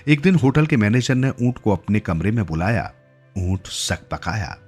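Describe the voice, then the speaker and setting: A man's voice: on the low side, sing-song, normal speed, a little mellow, husky, soft background music. A man narrating a scripted literary story as a storyteller.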